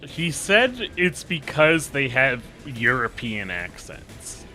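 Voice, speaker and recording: Blunt, animated male co-streamer. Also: medium pitch, slow, faint music behind. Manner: blunt, animated, swears casually. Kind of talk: casual gameplay stream chat.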